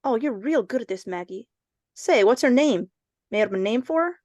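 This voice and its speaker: An excitable little schoolboy acted by a woman in an old-time radio thriller. The voice is high and swings widely as he talks in folksy slang, eager one moment and skeptical the next. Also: normal speed, no room sound. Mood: excited, eager. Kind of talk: old-time radio suspense drama, performed live.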